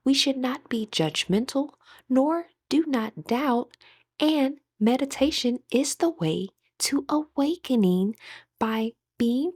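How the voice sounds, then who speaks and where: A woman with a breathy voice gently leading a guided meditation as an instructor, in measured, scripted phrases. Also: sits high, no echo, clean studio sound.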